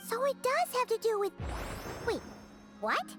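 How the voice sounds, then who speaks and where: A woman voicing a small, chirpy, excitable childlike fairy companion in a video game. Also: very high-pitched, unhurried, exaggerated voice. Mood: curious. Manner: excitable, speaks of herself in third person. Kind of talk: video game character dialogue. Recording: music behind the voice.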